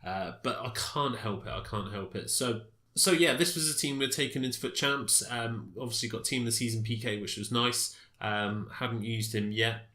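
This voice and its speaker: A man's voice: medium pitch, normal speed, sharp tone, roomy sound. A man with a stuffy, congested voice from a cold, casually commenting on his game with many fillers and restarts. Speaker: gaming commentator.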